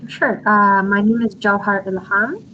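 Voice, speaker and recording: A woman in her twenties, a guest speaking earnestly and hesitantly over a video call, pausing often on ums. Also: medium pitch, bright, forceful tone, highs cut off, slight background noise. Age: 20s. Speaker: interview guest.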